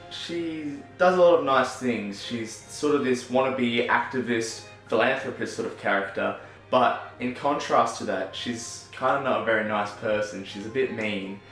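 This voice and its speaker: A young Australian man chatting casually as a filmmaker, self-deprecating and quick to laugh. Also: mid-range voice, a bit bright. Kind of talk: casual vlog narration. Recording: echoey room with music under it.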